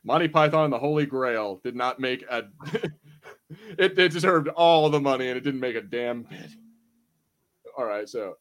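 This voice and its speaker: A man's voice: mid-range voice, expressive, normal speed, fairly bright tone. A man hosting a podcast in a casual, informal, slangy chat style. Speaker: podcast host.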